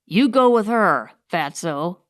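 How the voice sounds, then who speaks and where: Woman voicing a slow, cold male outlaw boss. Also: medium pitch, expressive, a bit bright, dry recording, clean studio sound. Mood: commanding. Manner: curt, menacing, commanding. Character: tall, cold, commanding male outlaw gang leader. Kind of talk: audiobook character voice.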